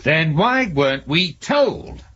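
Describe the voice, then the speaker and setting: An actor playing a dogged, sceptical police inspector in a 1970s radio drama, probing and blunt with dry defiance. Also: male voice, on the high side, sing-song, slow, sharp tone, slightly rough, heavily acted. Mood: challenging. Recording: dull, noisy old radio recording.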